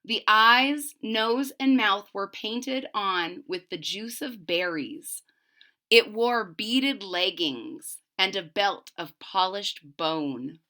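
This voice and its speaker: A woman reading a children's story aloud expressively, deliberately, with explanatory asides to young listeners. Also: medium pitch, bright, forceful tone.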